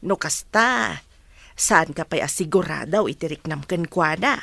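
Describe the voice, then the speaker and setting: Expressive, cautious mother played by a woman. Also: sits low, measured pace, creaky, dry recording, full character voice. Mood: gentle advice. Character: caring, cautious mother advising her grown daughter. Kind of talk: radio drama acting.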